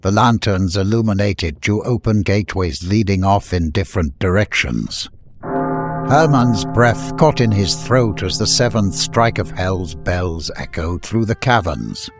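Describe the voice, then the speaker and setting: A man's voice: on the low side, animated, measured pace, faint music behind. A man reading scripted fiction as a narrator, in dramatic audiobook style.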